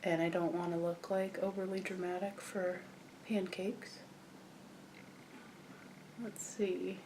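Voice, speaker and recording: A young woman with a croaky morning voice, chatting casually as she walks through a makeup tutorial. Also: lowish voice, takes it slowly, background noise. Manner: chatty, relaxed, frequent fillers and restarts. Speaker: beauty vlogger.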